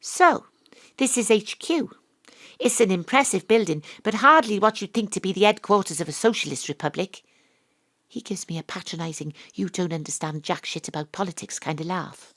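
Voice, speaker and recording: A woman's voice: medium pitch, normal speed, fairly bright tone, lightly acted. A woman with a Welsh accent playing a brash, independent investigator, narrating an audio drama in a dry, blunt manner. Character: wry, brash, independent-minded female investigator narrating her case.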